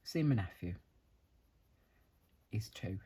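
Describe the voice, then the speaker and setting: A young man with a tired, croaky voice, chatting casually and rambling as he hosts a colouring video. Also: lowish voice, expressive, slow, warm tone. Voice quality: husky. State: tired, croaky after a night out. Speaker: colouring channel host. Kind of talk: casual chat while colouring.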